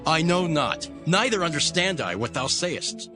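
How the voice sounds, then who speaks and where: A man's voice: on the high side, sing-song, measured pace, over music. A man playing a defensive, emphatic disciple in a dramatized scripture reading. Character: Peter, defensive disciple denying his master.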